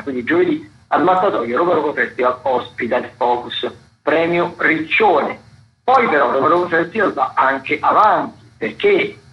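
A man hosting radio, presenting arts listings in a halting, repetitive way full of fillers. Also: mid-range voice, bright, forceful tone. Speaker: radio host. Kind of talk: radio arts listings commentary, loosely read. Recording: dull lo-fi radio broadcast.

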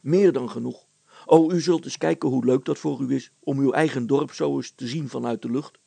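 A man's voice: medium pitch, normal speed, vocal fry, mellow, husky, dry recording. A man voicing a cheerful, confident village smith and inventor in a storybook, breezy, reassuring and matter-of-fact.

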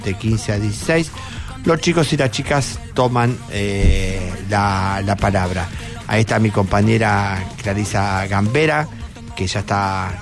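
A man with a Buenos Aires accent opens a radio show over clearly audible music. His voice is mid-pitched, and he talks casually, haltingly, tossing in little questioning tags. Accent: Argentine, Buenos Aires. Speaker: radio presenter and producer. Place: radio studio. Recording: music under the voice.

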